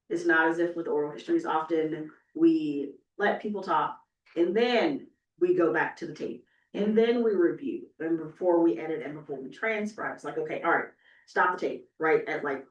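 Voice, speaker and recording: A woman's voice: deep voice, moderate pace, lo-fi sound. A woman panelist thinking aloud in a panel discussion, often asking "right?". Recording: roomy hall, speaker far from mic.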